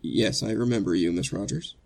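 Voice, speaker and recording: A man playing an earnest, anxious condemned prisoner in a 1940s radio drama, speaking a little haltingly. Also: fairly low pitch, expressive, creaky, darkish tone, husky, full character voice. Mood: subdued, courteous.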